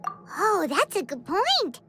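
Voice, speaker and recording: Tiny female game sidekick: extremely high, animated, chirpy, childlike. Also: slow, a little hiss or hum, exaggerated voice. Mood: curious. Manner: squeaky, exclamatory. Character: small childlike female fairy companion, chirpy and excitable. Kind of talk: video game voice acting.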